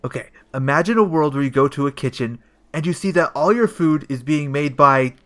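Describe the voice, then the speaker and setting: An actor playing a childish, self-important, slow-witted police detective in a comedic audio drama, rambling and hesitant. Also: male voice, fairly high pitch, fairly bright tone, close to an everyday voice. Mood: earnest, enthusiastic.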